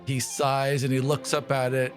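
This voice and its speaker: A man's voice: mid-range voice, completely flat delivery, normal speed, faint music behind. A man playing a tabletop roleplaying game, casually improvising narration about his character.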